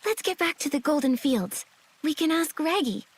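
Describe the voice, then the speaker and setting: High-voiced plucky young heroine. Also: female voice, expressive, moderate pace. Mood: alert, determined. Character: plucky indignant young female stylist adventurer. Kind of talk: game cutscene voice acting.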